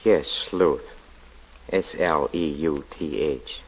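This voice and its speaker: A brooding, pious lodger. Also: male voice, rumbling low, husky, heavily acted. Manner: halting, hesitant speech with sudden sharp outbursts. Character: stern pious male lodger, brooding and sinister. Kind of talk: vintage radio drama acting. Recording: dull lo-fi old radio recording.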